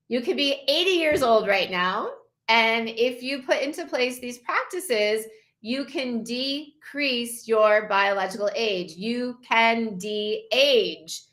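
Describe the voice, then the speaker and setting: A woman's voice: on the high side, lively intonation, slow, fairly bright tone. A woman in her fifties presenting before-and-after photos to an online group, enthusiastic and repeating her main points. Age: 50s. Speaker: wellness challenge host.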